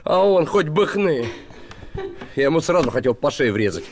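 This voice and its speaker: Male, 30s, rough but pleading, colloquial, emotional, animated pitch, TV drama. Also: normal speed. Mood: agitated recounting. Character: rough, pleading man excusing himself to a woman. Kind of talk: naturalistic screen drama acting. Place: furnished room indoors.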